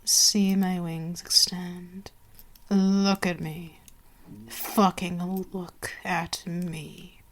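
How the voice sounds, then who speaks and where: A woman playing an imperious, seductive vampire queen in an ASMR roleplay, haughty and menacing, drifting into breathy whispers. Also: lowish voice, flat delivery, drawn-out words, exaggerated voice. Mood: seductive, domineering.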